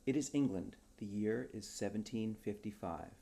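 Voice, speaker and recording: A man who is an author reading his own short story aloud in a dramatic storybook style, with a mellow voice. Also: on the low side, moderate pace, gravelly.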